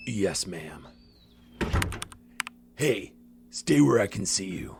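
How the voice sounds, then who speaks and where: A man playing a gruff, watchful company associate in an audio drama, terse and commanding. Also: sits low, sing-song, very slow, a little mellow, a little hiss or hum, heavily acted. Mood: stern.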